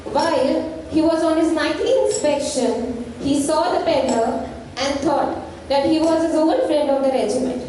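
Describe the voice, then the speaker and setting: A woman's voice: high voice, measured pace, dark tone. A young woman giving scripted story narration for a stage play. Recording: echoey hall, distant mic, faint noise.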